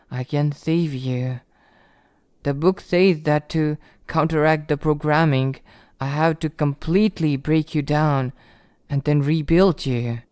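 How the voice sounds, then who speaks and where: An obsessive young yandere played by a man speaks slowly and deliberately, in a smooth voice recorded dry and close. He is possessive and lovesick, pleading with a tender menace in an intimate ASMR roleplay. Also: a little high, darkish tone, no room sound.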